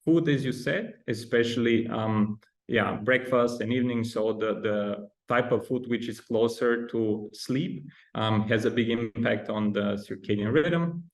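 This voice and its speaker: A man's voice: medium pitch, a little slow. A man in his thirties from Bulgaria, speaking with a Bulgarian accent as a podcast guest, explaining with frequent ums and restarts. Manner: hesitant, many ums and restarts. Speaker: guest researcher. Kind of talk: podcast interview.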